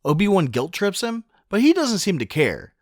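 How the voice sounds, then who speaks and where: A man's voice: high-pitched, wildly swooping pitch, moderate pace, no echo, clean studio sound. A man narrating a film recap casually, with a wry, sarcastic, joking delivery.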